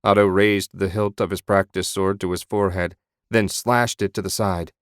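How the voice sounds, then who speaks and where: A man narrating a fantasy audiobook in measured storytelling. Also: low voice, steady tone, no room sound, studio quality.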